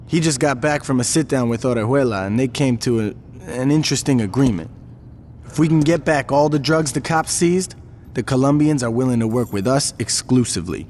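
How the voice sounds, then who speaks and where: A man's voice: mid-range voice, brisk, a little mellow, slight background noise, close to an everyday voice. An actor playing a cautious male gang lieutenant who plans carefully, in a video game cutscene.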